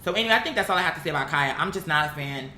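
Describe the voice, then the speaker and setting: Online commentator, mid-pitched and sharp-toned, emphatic, slangy, swears freely, opinionated off-the-cuff talk, roomy home-style recording. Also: animated, rapid-fire. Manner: blunt, emphatic, slangy and profane. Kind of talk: casual opinionated commentary, unscripted talk to viewers. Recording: echoey room, bright sound, faint background noise.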